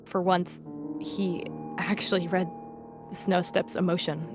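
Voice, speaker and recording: A woman reading roleplay narration aloud in a soft, hesitant way, with faint music behind. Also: on the low side, little pitch movement, slow pace.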